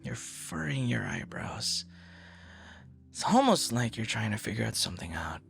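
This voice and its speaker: A man performing an ASMR roleplay as an earnest, easily flustered young stranger, talking conversationally with hesitant repeats and hushed asides. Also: lowish voice, sing-song, vocal fry, faint music behind, full character voice. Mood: surprised, curious. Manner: hesitant, halting, soft-spoken asides, repeats words.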